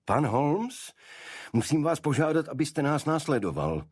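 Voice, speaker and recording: Guy reading as big burly official henchman in an audiobook, husky voice, formal and curt, wont take no. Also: a little high, sing-song, a little mellow, dry recording, studio quality, pitched above the performer's own voice. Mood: formal insistence. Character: tall, burly, firm official escort man.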